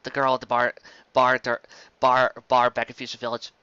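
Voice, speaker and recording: Bright-voiced woman narrating fan fiction expressively. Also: extremely low pitch, completely flat delivery, moderate pace, smooth, no room sound. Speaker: amateur story reader. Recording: dull, close lo-fi recording.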